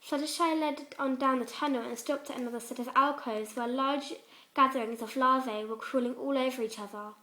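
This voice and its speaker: A woman's voice: sits high, steady tone, fairly quick, sharp tone, echoey room. A woman reading fan fiction aloud as scripted storybook-style narration.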